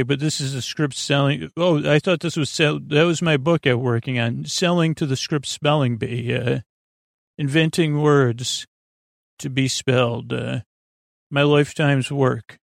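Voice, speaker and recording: Male sleep-podcast host. Creaky, gravelly voice at a middling pitch, meandering and hesitant delivery, very dry recording. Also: steady tone, dry recording. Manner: meandering, halting, tangential, absent-minded. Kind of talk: rambling sleep podcast monologue.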